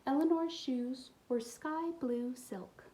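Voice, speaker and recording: Mellow, higher-voiced woman storyteller. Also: slow. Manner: expressive, explains to young children. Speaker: storytime reader for a school community. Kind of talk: children's picture book read-aloud with asides.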